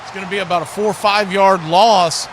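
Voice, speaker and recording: High-pitched man calling football play-by-play. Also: steady tone, fairly quick. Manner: clipped phrases that build to shouts on big plays. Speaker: play-by-play announcer. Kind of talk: live football play-by-play commentary. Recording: clearly noisy live stream.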